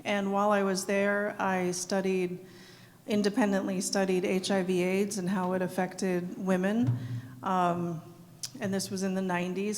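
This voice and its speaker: A woman's voice: mid-range voice, a little hiss or hum. Middle-aged woman speaking in a reverberant hall. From South Dakota, US. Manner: earnest, with frequent ums. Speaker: executive director being interviewed. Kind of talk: live Q&A at an evening event. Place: event hall.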